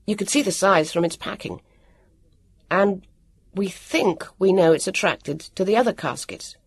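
A woman's voice: fairly low pitch, fairly even intonation, measured pace, creaky, no room sound, clean studio sound, pitched above the performer's own voice. A woman voicing an earnest, self-dramatising boy who speaks precisely and emphatically in a storybook reading. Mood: reasoning.

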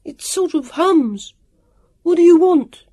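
A woman voicing a small, plucky, petulant young boy who calls out loudly in a storybook reading. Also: on the high side, slightly rough, voice pushed up, heavily acted. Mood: calling loudly. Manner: calling out loudly, drawn-out words.

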